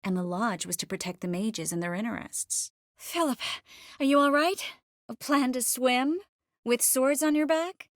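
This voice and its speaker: A woman's voice: on the high side, normal speed, no room sound, clean studio sound, natural voice. Caring, wry young sorceress, voiced by a woman. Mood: measured, grave, then animated, urgent. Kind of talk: fantasy video game voice acting.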